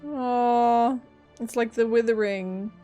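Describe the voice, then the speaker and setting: A woman's voice: a little high, fairly even intonation, takes it slowly, muffled recording, music in the background. A woman streamer reacting expressively to a game's story as she plays. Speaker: gaming streamer. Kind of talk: live game reaction commentary.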